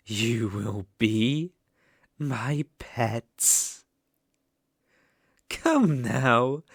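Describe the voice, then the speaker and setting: A possessive, sadistic demon ruler with a British accent, played by an ASMR performer. The delivery is slow and theatrical, with haughty mockery and big swoops in pitch. The sound is close and dry, as in an intimate roleplay. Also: dry recording. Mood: scheming, smug. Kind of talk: ASMR roleplay, scripted monologue.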